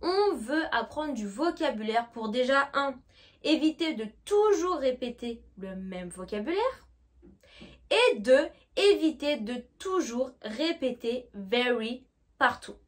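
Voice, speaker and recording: A woman with a French accent leads an online English lesson in a high, light voice. She talks to the viewer directly and informally, and she stretches out each English model word so it can be repeated. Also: lively intonation, takes it slowly. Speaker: English teacher.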